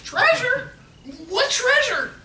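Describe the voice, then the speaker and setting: A woman playing a naive, folksy, excitable comic sidekick in a live radio play. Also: very high voice, slow, full character voice. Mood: excited. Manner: exclamatory, folksy. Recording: echoey, noisy stage from far off.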